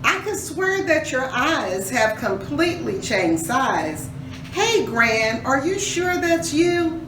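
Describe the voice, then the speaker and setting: A woman's voice: high-pitched, very animated, slow, pitched above the performer's own voice, exaggerated voice. A woman voicing a bold, suspicious young girl from a storybook, exclaiming and questioning. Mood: amazed suspicion. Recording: roomy echo, distant mic, faint noise.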